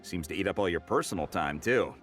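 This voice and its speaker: A man's voice: sits low, animated, a little fast, fairly bright tone, soft background music. An actor playing a discreet, unruffled male quartermaster in a video game, cordial and matter-of-fact. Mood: businesslike. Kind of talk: video game character dialogue.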